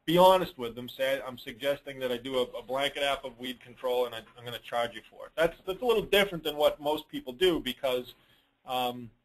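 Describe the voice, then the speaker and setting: A man's voice: medium pitch, poor audio. Plain-spoken man giving practical advice. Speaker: lawn care business coach hosting a dealer call. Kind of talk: informal question-and-answer coaching talk.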